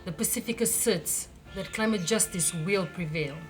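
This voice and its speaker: Lower-voiced young woman from Fiji. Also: normal speed. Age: young adult. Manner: forceful, declarative. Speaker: climate activist. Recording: loud music underneath.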